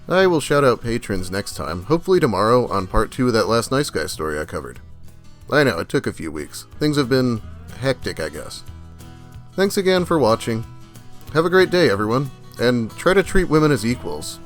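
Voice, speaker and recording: Lively male commentator with wry delivery. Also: medium pitch, moderate pace, soft background music. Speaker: host, video creator. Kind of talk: casual commentary, reading online posts aloud.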